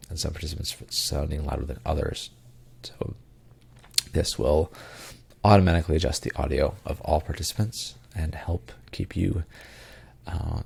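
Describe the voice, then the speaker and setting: Man, congested, tired, gravelly, casual tech podcast host, filler-heavy. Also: a bass voice, a little mellow. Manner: many ums and uhs, loose rambling asides. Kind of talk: casual tech news rundown.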